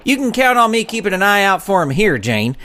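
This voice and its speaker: Casting: an earnest frontier deputy, high-pitched and animated, polite and folksy, kids' western serial. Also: male voice, brisk, heavily acted. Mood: courteous, earnest. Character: earnest, dutiful small-town western deputy fish. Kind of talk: children's western audio drama acting.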